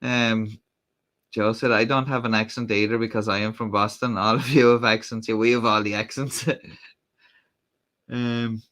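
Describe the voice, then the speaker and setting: A man's voice: on the low side, little pitch movement, moderate pace, fairly bright tone. An Irish man hosting a casual livestream, rambling with lots of fillers and restarts. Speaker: livestream host. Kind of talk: casual live-stream chat.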